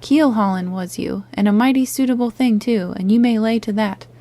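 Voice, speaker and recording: A woman voicing a hearty one-legged male pirate innkeeper who blusters loudly in sailor slang, read as an audiobook character. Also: medium pitch, moderate pace, natural voice. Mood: scolding.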